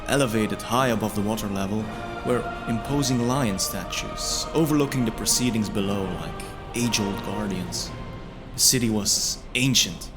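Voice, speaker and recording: A man reading scripted first-person fantasy narration in a measured, solemn storytelling manner. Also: on the low side. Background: music.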